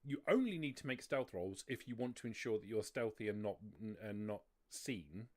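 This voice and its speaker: A man's voice: lowish voice, lively intonation, normal speed. A man acting as game master, explaining rules and story to players at a tabletop roleplaying game.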